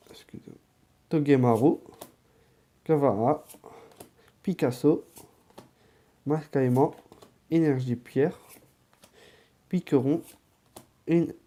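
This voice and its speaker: A man with a head cold and sore throat chatting casually while opening card packs, now and then muttering quiet asides as he handles the cards. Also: a little high.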